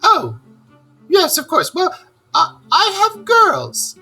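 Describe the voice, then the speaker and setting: Storybook character: boastful toad disguised as a washerwoman, female reader. Extremely high, shrill falsetto, wheedling, swooping theatrically. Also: wildly swooping pitch, slow, creaky, bright, forceful tone, soft background music, full character voice. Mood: airy boasting. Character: boastful male toad faking a plaintive old washerwoman. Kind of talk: children's storybook read-aloud.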